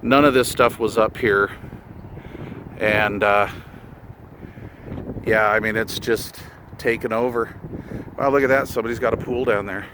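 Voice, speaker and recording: A man's voice: lowish voice, fairly even intonation, unhurried, pauses often. A middle-aged man chatting casually off the cuff in a laid-back, folksy, slangy way, as a hobbyist vlogger. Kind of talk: casual off-the-cuff outdoor vlog and radio chat. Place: outdoors on a hillside road by his vehicle. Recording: windy outdoor noise with vehicle sounds.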